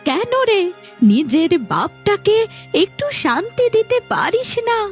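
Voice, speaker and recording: A woman acts out a stern old grandmother in a fairy-tale cartoon. Her voice is high and admonishing, the scolding elder with a caring core, over faint music. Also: big pitch swings, unhurried, full character voice.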